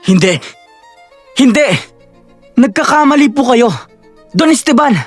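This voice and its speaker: A man's voice: very high voice, animated, measured pace, no room sound, faint music behind, exaggerated voice. Man acting a sneering, arrogant villain husband. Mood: panicked. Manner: taunting, mocking, theatrical. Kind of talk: Filipino audio drama acting.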